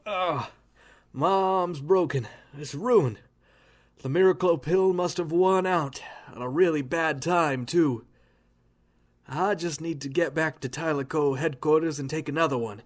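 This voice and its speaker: A man's voice: on the high side, measured pace, natural voice. A man playing a battered, injured superhero in a radio drama, pained and melodramatic.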